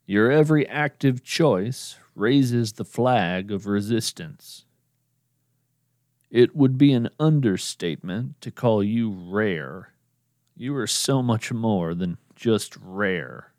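A man narrating his podcast in a slow, measured, earnest scripted address to the listener. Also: on the low side, expressive, a little mellow, no echo, clean studio sound.

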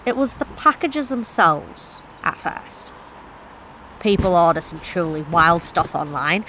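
A woman's voice: lowish voice, animated, slow pace, pushed and bright, slight background noise, full character voice, poor audio. A woman playing a wry courier. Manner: wry, confiding, self-deprecating. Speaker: delivery driver narrator. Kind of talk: first-person horror monologue.

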